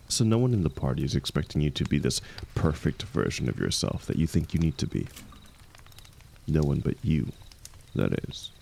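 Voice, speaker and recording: A man playing an aging, wounded warrior, the hero's loyal and gentle shield, speaking softly and haltingly in an intimate audio roleplay. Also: rumbling low, creaky, a little hiss or hum, heavily acted. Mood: gentle, reassuring. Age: older. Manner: soft, halting, confiding, reassuring.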